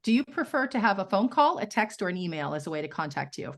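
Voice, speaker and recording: A woman interviewer who talks quickly and keeps the conversation light and chatty. She fires off one question after another in an upbeat manner. Her voice sits at an ordinary middle pitch. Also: sing-song.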